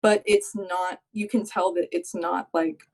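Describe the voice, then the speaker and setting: A woman's voice: fairly low pitch, steady tone, a little slow. Young woman rambling with "like". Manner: rambling, heavy use of "like". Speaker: podcast co-host. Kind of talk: casual chat.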